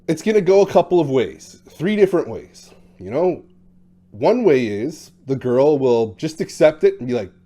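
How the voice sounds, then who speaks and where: A man's voice: a little high, big pitch swings, moderate pace, warm tone. A man in his thirties or forties talking bluntly and casually about dating, with lots of fillers. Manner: talkative, blunt, acts out quotes in shifting voices. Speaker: dating coach. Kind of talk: casual monologue to camera.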